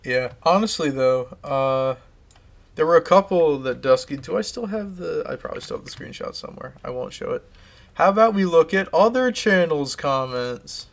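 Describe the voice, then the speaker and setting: A man's voice: a little high, animated, normal speed. Man streamer chatting casually. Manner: rambling, with restarts. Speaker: live streamer. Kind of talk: casual chat reading viewer comments.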